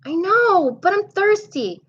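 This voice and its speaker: A woman's voice: extremely high pitch, big pitch swings, slow, a bit bright, slight background noise, voice pushed up, exaggerated voice. A woman voicing a little boy who keeps stalling at bedtime, pleading and needy. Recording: dull lo-fi recording.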